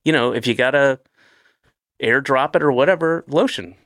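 A man's voice: medium pitch, moderate pace, fairly bright tone, no echo, studio quality. A man in his late fifties hosting a podcast, chatting casually and rambling with self-deprecating asides and restarts. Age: 50s. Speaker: podcast host. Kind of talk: casual chat.

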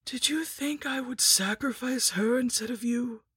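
A woman voicing a cruel undead female lich sorceress, rasping and mocking, sliding into breathy whispers. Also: a little high, measured pace, fairly bright tone, dry recording, voice pushed up, full character voice. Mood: menacing. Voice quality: rasping, cackling.

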